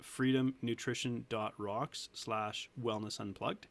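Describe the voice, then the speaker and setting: Guest coach, man in his 30s, gravelly voice, earnest and chatty, laughs a lot. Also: mid-range voice, steady tone, slow pace. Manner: earnest, laughs often, restarts sentences. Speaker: weight loss coach, guest. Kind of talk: casual interview talk.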